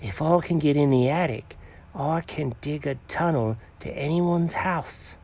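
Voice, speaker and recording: A man doing a high, dramatic cat. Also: measured pace, a little mellow. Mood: excited. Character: excitable male cat, dramatic and bossy.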